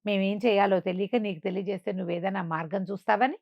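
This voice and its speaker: A woman's voice: mid-range voice, steady tone, quick pace, gravelly, no room sound, lo-fi sound, natural voice. A woman storyteller voicing a helpless, deferential male attendant who explains apologetically and at length.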